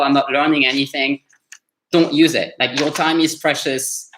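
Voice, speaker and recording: A man's voice: mid-range voice, slight background noise. French-accented man teaching in an echoey room. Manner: relaxed, conversational, many fillers and restarts. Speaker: French coach. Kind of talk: casual live teaching session. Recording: roomy echo.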